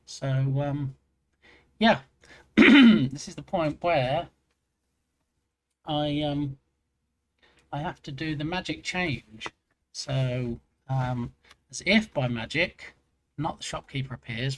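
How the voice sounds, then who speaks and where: Man, mid-pitched, rambling casual streamer. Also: slight background noise. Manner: chatty, self-mocking, rambling. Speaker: game dev streamer. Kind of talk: casual live-stream chat.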